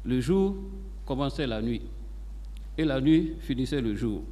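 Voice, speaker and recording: Measured man testifying in echoing hall. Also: medium pitch, lively intonation, warm tone. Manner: measured, formal, deliberate phrasing with pauses between short phrases. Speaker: witness testifying in court. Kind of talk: formal court testimony, narrated account. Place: large courtroom hall. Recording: reverberant hall, long echo.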